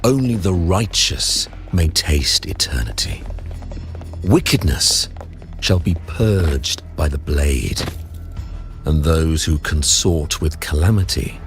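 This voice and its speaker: A man's voice: rumbling low, expressive, takes it slowly, soft background music, exaggerated voice. An actor playing a wrathful, zealous holy man in a video game, preaching judgment in a solemn, grandiose way. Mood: righteous wrath. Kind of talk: theatrical video game voice acting.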